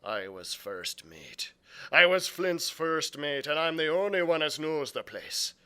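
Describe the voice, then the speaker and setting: A man's voice: on the high side, lively intonation, a little slow, pushed and bright, clean tone. A man voicing an ailing, drunken old sea captain in a storybook reading, with rambling, urgent sailor talk. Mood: urgent, fearful.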